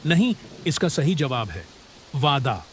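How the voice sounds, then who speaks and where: Casting: a bright, brave young villager, animated and earnest. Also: male voice, medium pitch, slow pace, a little hiss or hum. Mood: confident. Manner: eager and polite, lively delivery. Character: clever, brave poor young village man. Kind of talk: cartoon voice acting.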